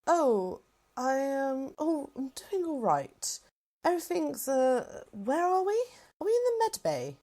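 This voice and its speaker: A woman's voice: high-pitched, very animated, unhurried, warm tone. A woman playing a hesitant, mild-mannered general in a Minecraft roleplay, tentative and questioning. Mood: puzzled. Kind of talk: hobby Minecraft roleplay acting.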